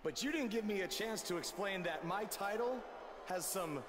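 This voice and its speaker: A man's voice: high voice, close to an everyday voice. An actor playing a cocky, mocking male pro wrestler, theatrical and taunting, in a video game cutscene. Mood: cocky, defiant. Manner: theatrical, taunting, drawn-out emphasis. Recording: echoing arena sound, slight noise.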